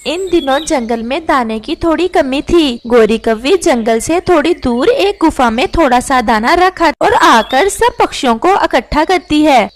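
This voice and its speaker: A woman's voice: high voice, animated, unhurried, hardly any pauses. A woman narrator reading a scripted story for children.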